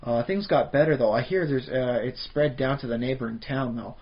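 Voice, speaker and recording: A man from Canada in mid-range pitch, co-hosting a podcast and rambling through a tabletop-game topic with plenty of fillers and restarts. The audio is dull and lo-fi, like a home podcast setup. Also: brisk. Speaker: podcast co-host. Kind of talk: casual chat about tabletop games.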